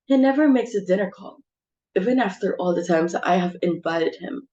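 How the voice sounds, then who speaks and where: A woman's voice: on the low side, expressive, normal speed, warm tone, muffled recording, natural voice. A woman playing a snobbish, easily exasperated society mother and hostess in a dramatic reading of a stage comedy, with a fussy, scolding delivery. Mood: snobbish, dismissive.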